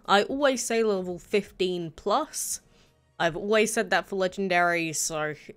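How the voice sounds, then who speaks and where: A woman's voice: medium pitch, fairly bright tone. Chatty teen girl streaming. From England. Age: late teens. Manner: chatty, rambling, frequent "uh" and "basically". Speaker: live streamer. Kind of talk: casual live-stream chat, reading chat aloud.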